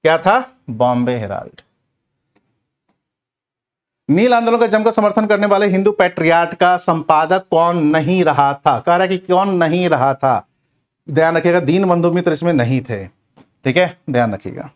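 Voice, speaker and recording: A man's voice: a little high, expressive, moderate pace. A man giving a question-and-answer revision lecture as an exam coaching teacher, instructive and often repeating check phrases.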